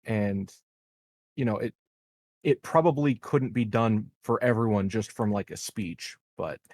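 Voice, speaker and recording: A man's voice: lowish voice, measured pace, darkish tone, no room sound, clean studio sound. A man playing a tabletop game, talking casually and hesitantly as he thinks his choices through aloud.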